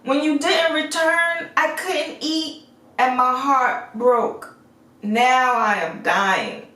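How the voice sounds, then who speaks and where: A woman's voice: sits high, slow pace, pushed and bright, voice pushed up, exaggerated voice. A woman voicing a dying, lovesick storybook beast with a mournful, pleading delivery. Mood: heartbroken. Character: dying heartbroken male beast, gentle and lovesick. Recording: echoey room, distant mic.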